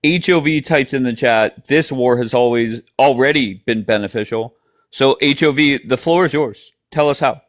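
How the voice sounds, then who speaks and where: A man acting as host of a live stream, briskly moderating the debate and giving out turns. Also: mid-range voice, no room sound, clean studio sound. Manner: brisk, directive.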